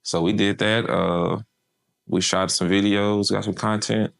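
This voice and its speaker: A man's voice: lowish voice, flat delivery, measured pace, vocal fry. A man from Memphis, an artist manager chatting casually in an interview, laid-back and slangy with lots of fillers.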